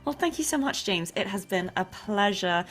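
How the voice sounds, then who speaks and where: A woman's voice: medium pitch, lively intonation, moderate pace, fairly bright tone, faint music behind. A woman hosting a casual interview in an informal, gushing manner. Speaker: interview show host.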